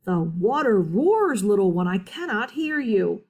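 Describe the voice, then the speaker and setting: A woman's voice: medium pitch, big pitch swings, darkish tone, lo-fi sound. Woman doing a stern, scolding storybook mother. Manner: slow, haughty and scolding. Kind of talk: storybook reading aloud.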